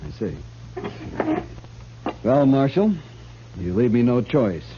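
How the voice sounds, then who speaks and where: An actor playing a stiff, indignant army major, formal and husky, in a 1950s radio western. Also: male voice, medium pitch, expressive, slow pace, noisy background. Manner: formal, haughty. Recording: muffled vintage radio recording.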